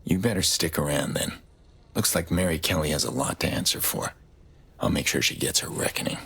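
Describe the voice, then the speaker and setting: Grim middle-aged game vigilante, low register, raspy, terse, stoic. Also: male voice, fairly quick, a bit bright, full character voice. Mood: resolute. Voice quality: low gravelly rasp. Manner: terse, brooding delivery.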